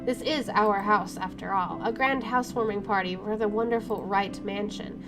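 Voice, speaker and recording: A woman's voice: mid-range voice, steady tone, normal speed, music in the background. A woman game streamer reading visual novel narration aloud, with her own asides. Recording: clearly noisy home recording.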